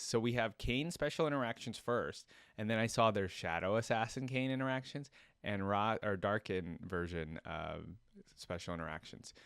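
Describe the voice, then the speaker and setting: A man's voice: mid-range voice, clear, resonant tone, no room sound, clean studio sound. A man hosting a reaction video, chatting casually and thinking aloud as he comments.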